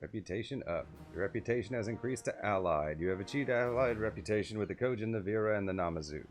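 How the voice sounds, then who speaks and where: Guy with a lowish voice streaming a game, reads stuff out n mutters asides, faint music. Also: fast. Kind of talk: reading game dialogue aloud with casual gameplay commentary. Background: video game music.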